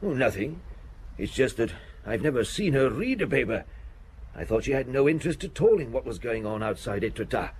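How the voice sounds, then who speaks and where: A man's voice: mid-range voice, sing-song, a little fast, a little mellow, slight background noise, close to an everyday voice. An actor playing a chatty, respectable family man in a radio drama, affable but uneasy, genteel and a little hesitant.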